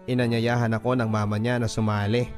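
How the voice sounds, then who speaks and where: A man's voice: medium pitch, flat delivery, brisk. Filipino man narrating over music. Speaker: radio host narrator. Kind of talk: dramatic scripted first-person storytelling narration. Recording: music under the voice. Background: background music.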